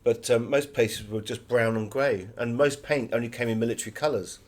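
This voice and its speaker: A man's voice: medium pitch, a little fast. A man giving conversational interview answers as an author, full of anecdotes.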